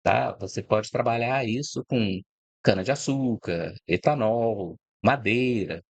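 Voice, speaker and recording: Brief: middle-aged Brazilian man, low voice, dry close recording, relaxed explainer who keeps checking the listener follows. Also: slow, creaky, slightly rough, dry recording. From Brazil, born in Rio de Janeiro. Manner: reflective, explanatory, frequent "né?" and "tá?" tags. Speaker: host of a channel about food and agribusiness. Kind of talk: informal spoken talk to camera.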